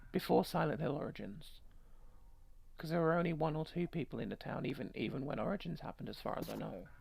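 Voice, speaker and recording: A woman's voice: sits low, animated, fast, creaky, darkish tone, slightly rough. A woman streaming a game, reading the story aloud with irreverent, sweary, joking commentary. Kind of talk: game playthrough, narration mixed with casual commentary.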